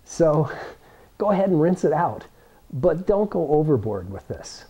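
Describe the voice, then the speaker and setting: A man's voice: fairly high pitch, big pitch swings, measured pace, warm tone, breathy edge. A man, giving a plain-spoken, encouraging how-to demonstration to camera as an instructor. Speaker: science toy-making instructor. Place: indoor workroom with cabinets.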